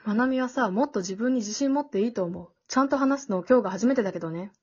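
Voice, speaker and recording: A woman acting a blunt, exasperated but protective teenage girl in an amateur radio drama, dry and sharp-tongued. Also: a little high, fast talker, slightly rough. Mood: reassuring. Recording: dull, lo-fi audio.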